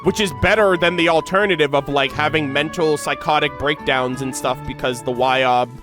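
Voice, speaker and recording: A man giving casual, slangy reaction commentary as an excitable gaming video host. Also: on the high side, moderate pace, over music.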